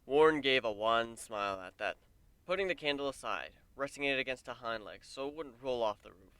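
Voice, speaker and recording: A man narrating a story as a dramatic reading, in a measured, descriptive manner. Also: mid-range voice, expressive, sharp tone, clear, resonant tone, no room sound. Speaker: fan fiction narrator.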